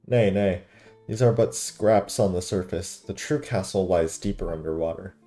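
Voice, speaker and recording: A man's voice: deep voice, moderate pace, mellow, soft background music, voice pushed down, natural voice. A man voicing a pompous, cryptic talking cat guide from a video game, speaking in formal, old-fashioned grand phrases. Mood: solemn, grand. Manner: formal, archaic, grandiose wording.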